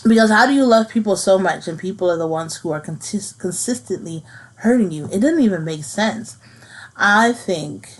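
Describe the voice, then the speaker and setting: A woman in her thirties hosting her own podcast, speaking earnestly and haltingly about something personal, repeating phrases as she works through it. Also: on the low side, poor audio. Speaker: podcast host. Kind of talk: personal reflective monologue.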